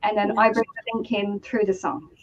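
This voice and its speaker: A woman's voice: medium pitch, flat delivery, moderate pace, highs cut off, background noise. An Irish woman, a guest medium, chatting in a rambling conversational way and laughing easily. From Ireland. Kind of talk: casual conversational storytelling.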